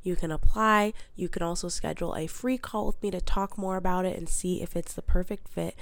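A woman's voice: fairly low pitch, brisk, gravelly, dry recording. Congested woman coaching, stuffy nose. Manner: upbeat, motivational coaching delivery. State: congested with a head cold. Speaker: podcast host and mindset coach. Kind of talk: solo podcast monologue.

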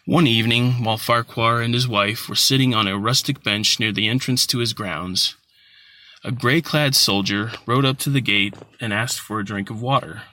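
Bright-voiced man reading a literary story. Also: mid-range voice, normal speed. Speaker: audiobook narrator. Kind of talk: scripted literary short story narration.

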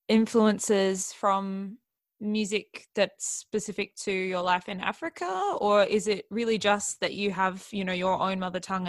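A woman's voice: mid-range voice, dry recording. Encouraging woman interviewer, dry sound. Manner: encouraging, lots of soft backchannels. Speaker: podcast host. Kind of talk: conversational interview.

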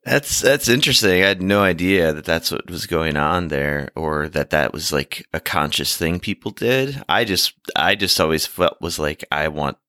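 A man hosting a podcast in casual, rambling talk, halting and pausing as he goes, close on the microphone. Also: sits low, bright, forceful tone, no echo. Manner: halting, hesitant delivery with long pauses. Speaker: podcast host. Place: remote recording over a video call. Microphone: microphone held close to the mouth.

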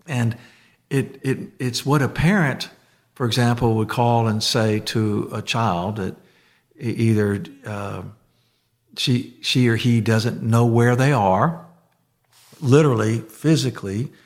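A man in easy podcast conversation, mid-pitched and unhurried. He talks in loose, rambling bursts, restarting his sentences and letting them fade out quietly. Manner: rambling, restarts, trails off softly. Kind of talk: casual conversational chat.

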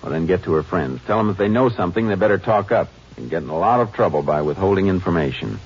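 An actor playing a calm, steady Texas Ranger in an old radio drama, speaking in a level, firm and probing way. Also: male voice, low voice, fast talker, breathy edge, exaggerated voice. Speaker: lead and narrator of the drama. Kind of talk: 1950s radio drama acting. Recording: muffled old radio broadcast with hiss.

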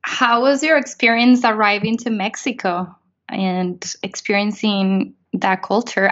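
A woman interviewer asking rambling questions full of likes and restarts. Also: mid-range voice. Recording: dull lo-fi sound.

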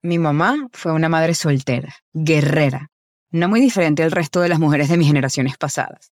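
A woman's voice: sits low, moderate pace, darkish tone, no room sound. Venezuelan woman narrating, dry close sound. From Caracas, Venezuela. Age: 20s. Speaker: podcast host. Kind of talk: scripted personal podcast narration.

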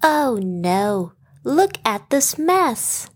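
A woman voicing a caring, observant female giraffe in a children's story, earnest and expressive. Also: a little high, drawn-out words, heavily acted. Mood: dismayed.

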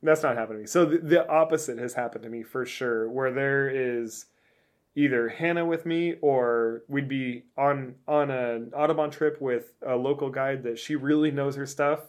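A man co-hosting a casual podcast, rambling through restarts and poking fun at himself. His voice sits at a typical middle pitch for a man. He jokes easily. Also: steady tone, moderate pace. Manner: rambling, self-mocking, many restarts. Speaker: podcast co-host. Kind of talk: casual chat.

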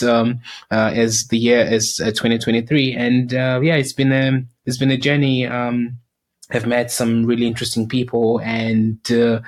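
Even-toned Southern African man hosting. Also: medium pitch. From Zimbabwe, grew up in South Africa. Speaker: podcast host. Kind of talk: casual podcast chat.

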